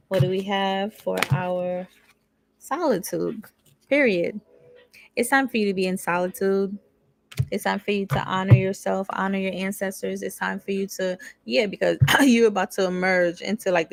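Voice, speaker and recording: A woman's voice: on the low side, creaky, no echo. A young woman giving a casual, candid tarot reading, reading card text aloud and then riffing in slangy asides. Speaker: tarot reader.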